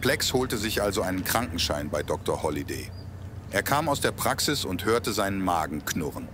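A man's voice: deep voice, sing-song, moderate pace, noisy background. A man narrating a humorous scripted audio drama in a storybook style. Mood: measured storytelling.

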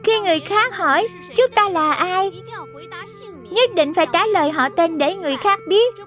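A woman's voice: very high-pitched, expressive, moderate pace, fairly bright tone. A woman voicing a small, encouraging lion sprite who guides children in a cartoon, childlike and instructive. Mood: cheerful teaching. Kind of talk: dubbed children's cartoon acting. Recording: music behind the voice.

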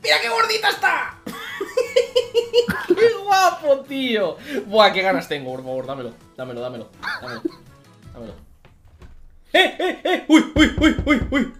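Excitable young streamer, lively voice. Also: male voice, extremely high pitch, measured pace, faint music behind. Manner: excitable, swearing, loud outbursts. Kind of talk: casual live-stream chat. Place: home streaming room.

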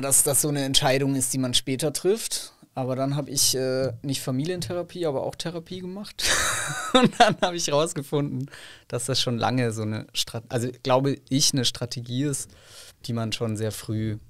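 A man's voice: medium pitch, moderate pace, a bit bright, no room sound, clean studio sound. A young man from Franconia with a light Franconian accent, chatting casually as a podcast guest and laughing often. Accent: light Franconian German. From Middle Franconia, Germany. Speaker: podcast guest, comedian and actor.

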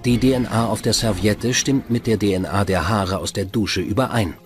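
A man's voice: on the low side, moderate pace. A man delivering scripted narration for a true-crime documentary. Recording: music bed behind narration. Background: music.

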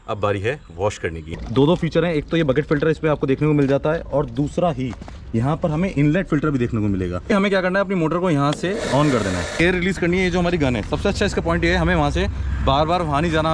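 Fast-talking man demoing gear outdoors. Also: mid-range voice. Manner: eager, explains step by step while handling gear. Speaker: product reviewer. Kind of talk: casual how-to demonstration. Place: outdoors beside a car. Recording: noisy outdoor recording with spraying washer.

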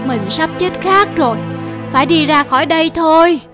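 A woman voicing a talking frog in a children's cartoon, with exaggerated, expressive delivery. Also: high-pitched, moderate pace, music in the background, natural voice. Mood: despairing. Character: two talking frogs, one whiny and rash, one cautious.

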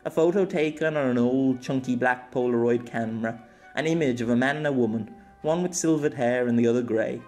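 A man's voice: medium pitch, a little fast, a little mellow, soft background music. A man reading scripted story narration expressively as an amateur audiobook narrator.

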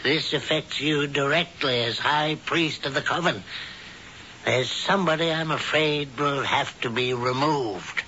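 An actor playing a courteous, cold-blooded male witch in a 1970s radio drama, speaking in a polite, measured way. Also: on the high side, background noise, close to an everyday voice. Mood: secretive, calm. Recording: muffled 1970s radio broadcast, music behind.

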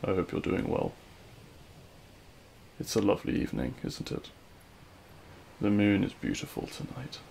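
A man playing a regretful, lovelorn supervillain in an audio roleplay, speaking in a hushed, halting, wistful way. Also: lowish voice, big pitch swings, gravelly, slight background noise, exaggerated voice. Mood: tender, subdued. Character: regretful lovelorn male supervillain addressing his fallen hero. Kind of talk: scripted ASMR audio roleplay monologue.

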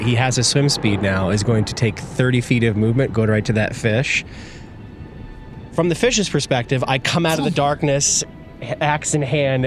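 A man narrates his character's actions in a tabletop game. His voice is medium-pitched and his telling is descriptive. Faint music sits behind him. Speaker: player in a tabletop roleplaying game.

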